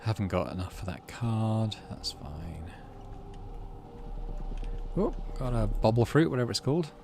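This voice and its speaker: A man's voice: fairly low pitch, very animated, a little slow, background noise. A man casually commentating on a game, thinking aloud in murmured asides and reading story text out. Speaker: gaming channel host.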